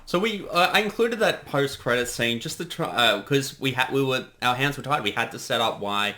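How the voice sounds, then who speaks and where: A man's voice: mid-range voice, animated, normal speed, pushed and bright. A man giving a casual creator's commentary on a production he directed, chatting conversationally.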